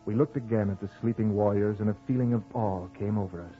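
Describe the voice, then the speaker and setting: Male actor as kindly, earnest husband-narrator for vintage supernatural radio drama. Lower register, measured literary delivery, muffled archive sound. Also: close to an everyday voice. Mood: somber, hushed. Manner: measured, literary storytelling and theatrical dialogue. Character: earnest husband narrating an eerie tale, kindly but exasperated. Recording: dull old radio recording with music.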